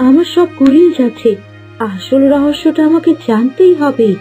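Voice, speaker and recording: A protective cartoon mother bird, voiced by a woman, with a very soft, dark tone set fairly high. She speaks earnestly and dramatically, like a wronged soul in a fairy tale. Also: moderate pace, faint music behind, lightly acted. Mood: shocked, determined.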